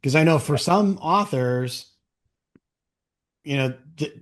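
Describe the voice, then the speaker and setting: A man's voice: fairly high pitch, expressive, takes it slowly. A man hosting an interview in a casual, informal way, thinking aloud as he frames his questions. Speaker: interview host.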